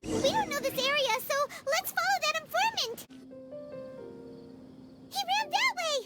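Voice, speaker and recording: Little fairy sidekick, extremely high squeaky voice, hugely animated, naive, video game, music behind. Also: female voice, unhurried. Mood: panicked, excited. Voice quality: squeaky, piping, childlike. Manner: exclamatory, speaks of self in third person. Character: tiny excitable floating fairy companion, childlike and naive.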